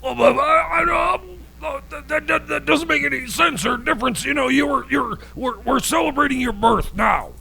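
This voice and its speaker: A man playing Jeb, an excitable, agitated hillbilly who stammers and bursts into shouting in a comedy radio bit. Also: extremely high pitch, normal speed, creaky, hardly any pauses, exaggerated voice. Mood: flustered. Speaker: co-host.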